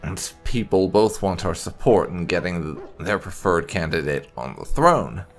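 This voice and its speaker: A man hosting a video game playthrough, explaining things in halting, unscripted commentary as he thinks aloud. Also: sits low, animated, faint music behind. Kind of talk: unscripted let's-play commentary.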